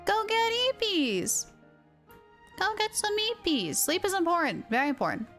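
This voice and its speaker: Female game streamer, high voice, playful off-the-cuff reactions, faint music behind. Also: big pitch swings, unhurried, fairly bright tone. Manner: playful, repeats phrases for emphasis. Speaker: livestreamer. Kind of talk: casual game reaction commentary.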